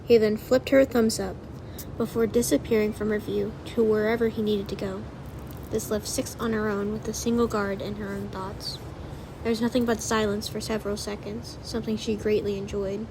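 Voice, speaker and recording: A woman's voice: mid-range voice, level tone, moderate pace, background noise. A woman narrating a story from a script, as in an audiobook of fan fiction.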